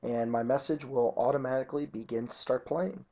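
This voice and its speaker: A man narrating an informal software tutorial as an instructor, explaining each step methodically. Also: mid-range voice, fairly quick. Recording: dull lo-fi computer screen-recording audio.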